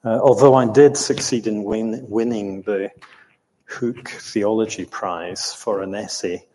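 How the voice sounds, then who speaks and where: An older man recounting his own life in an interview, speaking reflectively in a halting, thoughtful way with long hesitations. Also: fairly low pitch, fairly even intonation, mellow. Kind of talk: reflective storytelling in conversation. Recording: roomy hall with long reverb.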